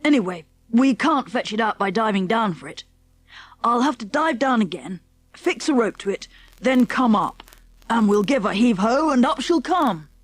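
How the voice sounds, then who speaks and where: Plucky English schoolboy adventurer played by a woman, confident and hearty, high voice, dry close sound, children's storybook reading. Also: expressive, no echo. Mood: excited, businesslike. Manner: brisk, hearty, old-fashioned British schoolboy diction. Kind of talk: children's audiobook character acting.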